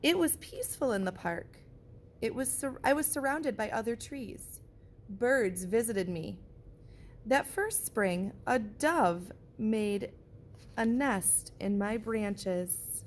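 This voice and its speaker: Woman, teacher reading a picture book aloud, slightly high voice, very slow and gentle, comments on the pictures. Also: animated, a little hiss or hum. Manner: gentle, slow storybook delivery with pauses.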